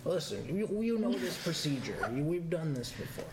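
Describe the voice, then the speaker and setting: A man playing a tabletop game, chatty and quick to agree with everyone. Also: on the high side, very animated, echoey room. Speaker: player. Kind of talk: casual tabletop play. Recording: loud background noise.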